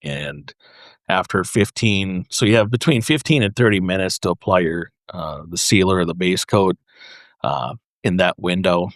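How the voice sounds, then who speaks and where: A man speaking as a podcast guest, explaining his craft casually in halting, piecemeal phrases with frequent pauses. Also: sits low, gravelly, dry recording, studio quality. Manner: halting, pausing, piecemeal delivery. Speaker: podcast guest, custom paint artist.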